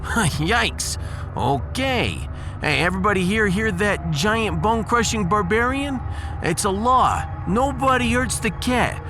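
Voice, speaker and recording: A man voicing an excitable, blustering working-class ship's engineer with streetwise grammar in a sci-fi audio drama. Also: high voice, measured pace, music in the background, pitched above the performer's own voice. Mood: exasperated. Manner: streetwise, ungrammatical, exasperated.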